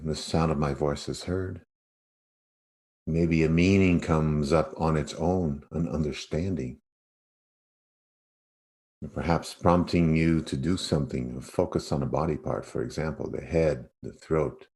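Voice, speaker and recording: A man leading a guided meditation as a yoga nidra teacher, speaking in an unhurried way with spacious pauses. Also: extremely low pitch, fairly even intonation, a little mellow.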